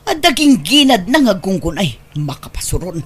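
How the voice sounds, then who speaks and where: Husky, bossy shop-owner mother, acted by a woman. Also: medium pitch, sing-song, moderate pace, soft background music. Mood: irritated. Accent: Ilocano. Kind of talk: Ilocano radio drama acting.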